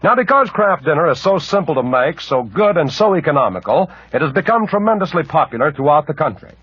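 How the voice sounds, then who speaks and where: A man's voice: fairly high pitch, big pitch swings, fairly quick, husky, dry recording. An American man reading a scripted 1940s radio commercial as announcer. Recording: muffled 1940s radio recording.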